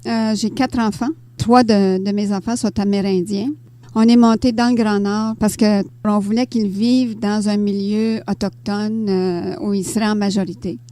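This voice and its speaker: An older woman from Ontario with a Canadian French accent, answering interview questions conversationally and pausing often as she thinks. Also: medium pitch, fairly even intonation, dark tone, no room sound. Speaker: interview guest, author and teacher. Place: radio studio.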